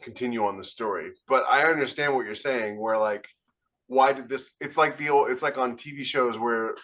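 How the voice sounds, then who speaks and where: A man co-hosting a podcast in casual panel chat, telling things in an animated, rambling way. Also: medium pitch, moderate pace. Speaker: podcast co-host, military science fiction author.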